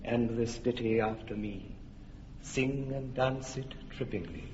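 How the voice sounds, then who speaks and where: A man's voice: mid-range voice, a little mellow, slight background noise, lightly acted. A man playing a solemn incanting fairy king. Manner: slow, incantatory. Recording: dull broadcast, long reverb.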